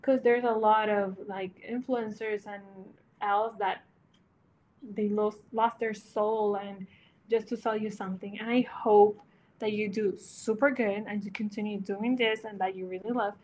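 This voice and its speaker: A woman's voice: medium pitch, steady tone, measured pace, slight background noise, poor audio. Encouraging woman. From Latin America. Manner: warm, earnest, searching for words. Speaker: podcast guest, interior designer. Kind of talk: casual chat, encouraging advice.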